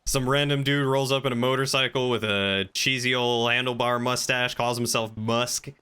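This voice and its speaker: Guy streaming game rp, smooth clear voice, kinda bright, wry and measured, chatting casually. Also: mid-range voice, steady tone. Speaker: game streamer playing a crime boss. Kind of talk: casual roleplay and stream chat.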